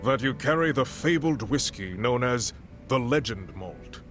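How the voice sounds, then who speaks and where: An actor playing a suave, pompous gentleman customer in a video game cutscene, formal and theatrical. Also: medium pitch, expressive, measured pace, faint music behind. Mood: smugly polite.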